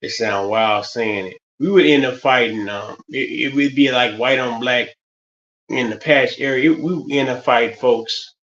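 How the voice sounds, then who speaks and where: A man, talking through a casual stream monologue. His voice sits at an average pitch and wanders through stories with restarts and fillers. The audio is a little dull and lo-fi. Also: normal speed, fairly bright tone. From United States. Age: 50s. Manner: rambling, loose, many restarts and fillers. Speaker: stream host.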